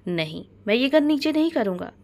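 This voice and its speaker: A woman's voice: high voice, big pitch swings, brisk, a little mellow, pitched above the performer's own voice. A hot-headed teenage boy from a storybook drama, played by a woman narrator. He is defiant and argues back in protest.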